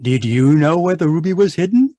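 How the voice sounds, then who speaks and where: A man's voice: fairly high pitch, expressive, brisk, mellow, no room sound. A man narrating and announcing a radio mystery in old-time style.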